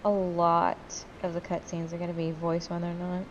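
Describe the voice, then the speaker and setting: A woman's voice: on the low side, completely flat delivery, normal speed, highs cut off, slight background noise. A sleepy woman chatting casually and candidly with friends over gameplay, swearing freely as she goes. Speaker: gamer commentating with friends. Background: game music.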